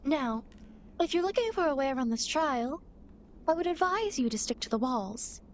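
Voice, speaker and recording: A woman acts out a playful demon girl in an ASMR roleplay. The voice is high and girlish, with smug, theatrical teasing and mock-grand phrasing. Clear background ambience runs under the speech. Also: animated, moderate pace, slight background noise. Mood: smug, explanatory. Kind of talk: ASMR roleplay, acted monologue to the listener.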